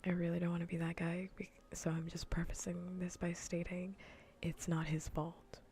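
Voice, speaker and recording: Low-pitched woman whispering softly. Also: little pitch movement, normal speed. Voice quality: soft, breathy, mostly whispered. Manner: informal, rambling, emphatic repetition. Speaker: livestream host. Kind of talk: soft-spoken ASMR casual livestream chat.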